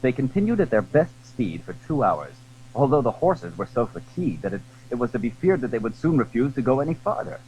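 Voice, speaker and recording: A man's voice: mid-range voice, big pitch swings, brisk, a little mellow, slight background noise, low-quality recording. A man narrating a classic adventure novel in dramatic, scripted storytelling style.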